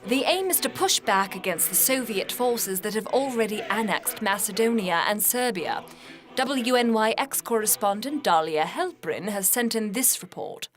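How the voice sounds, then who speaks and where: A woman's voice: medium pitch, lively intonation, soft background music, close to an everyday voice. A woman playing a composed, formal 1960s radio news anchor in a scripted drama. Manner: measured, formal newsreading.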